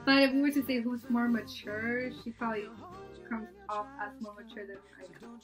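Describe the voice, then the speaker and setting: A woman's voice: on the high side, steady tone, normal speed, faint music behind. A woman in her twenties chatting casually and laughing often as she hosts a sister tag video from her bedroom. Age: 20s. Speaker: YouTube channel host. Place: bedroom, seated on a couch.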